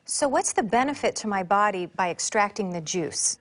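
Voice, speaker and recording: A woman's voice: mid-range voice, expressive, moderate pace. A woman hosting an infomercial, interviewing a guest in an upbeat presenter style. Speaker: infomercial host.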